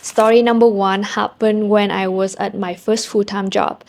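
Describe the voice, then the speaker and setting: A young Vietnamese woman speaks English with a clear Vietnamese accent, chatting straight to her camera. Her tone is candid and a little self-deprecating, with casual fillers scattered through her stories. Her pitch sits in an ordinary middle range. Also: level tone, moderate pace, a little mellow. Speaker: vlogger. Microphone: small handheld camera mic.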